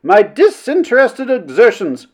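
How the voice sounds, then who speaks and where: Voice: medium pitch, huge pitch swings, measured pace, pitched above the performer's own voice. A narrator voicing a self-important, quarrelsome woman in a story, declaiming with haughty, melodramatic indignation. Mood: pompous indignation.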